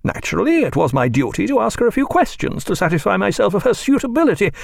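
A man voicing a domineering, meddling older woman who talks in a shrill, overbearing, lecturing way. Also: very animated, fast talker, vocal fry, no room sound, clean studio sound, full character voice. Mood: imperious. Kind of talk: audiobook character voice.

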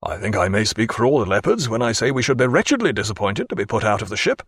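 A man's voice: lowish voice, big pitch swings, fast talker, a bit bright, breathy edge, no echo, clean studio sound, exaggerated voice. A man doing a genial naval captain.

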